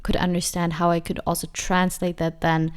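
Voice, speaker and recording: A woman in her mid-twenties answers interview questions in a relaxed, reflective way, often pausing and restarting. Her voice sits a little low and sounds smooth and clear. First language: not English. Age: 20s. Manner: reflective, many fillers and restarts. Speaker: dancer and guest. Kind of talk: casual interview.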